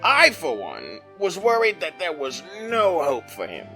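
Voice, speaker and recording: Bright, wildly expressive storyteller host. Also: male voice, fairly high pitch, unhurried, soft background music, full character voice. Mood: genial, grandiose. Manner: theatrical, courteous. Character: genial, theatrical male keeper of tales addressing guest. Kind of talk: audio drama host narration.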